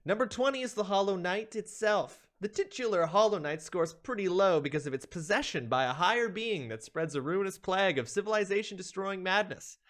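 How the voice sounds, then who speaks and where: Animated man dramatically reading a ranking. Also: high voice, a little fast, few pauses. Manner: theatrical, emphatic delivery. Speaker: streamer, video host.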